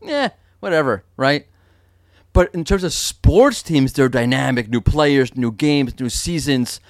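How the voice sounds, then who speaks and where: A man's voice: mid-range voice, expressive, a little slow, dry recording, clean studio sound. A man hosting a podcast in a casual, joking way, explaining things with lots of fillers and restarts. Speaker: podcast host.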